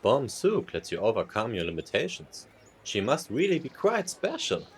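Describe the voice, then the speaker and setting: A man's voice: mid-range voice, huge pitch swings, normal speed. A man playing a kindly, teasing apparition of a dead friend in an audio drama, with a playful, musing manner. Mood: reassuring.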